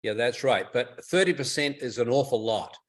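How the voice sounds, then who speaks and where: A man's voice: mid-range voice, normal speed. A man giving informal technical advice on a group conference call, explaining things in a methodical, instructive way. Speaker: club technical expert advising a caller. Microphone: telephone or conference call line.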